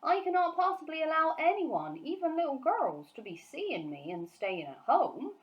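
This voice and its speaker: A woman voicing a big friendly giant from a storybook, excitable and kindly, speaking emphatically in garbled grammar and nonsense words. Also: sits high, moderate pace, lo-fi sound, pitched above the performer's own voice. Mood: agitated, warning.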